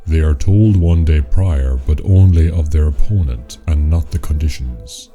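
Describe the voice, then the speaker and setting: An actor playing a stern, imperious imp elder in a radio play, speaking in a pompous, archaic, declamatory way. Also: male voice, very deep voice, a little slow, warm tone. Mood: curt, measured. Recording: music and insect chirps behind voice.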